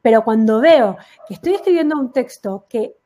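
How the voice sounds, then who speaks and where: A woman working as an SEO consultant, explaining emphatically in a casual conversation and reaching for quick everyday examples. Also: on the high side, expressive, dark tone.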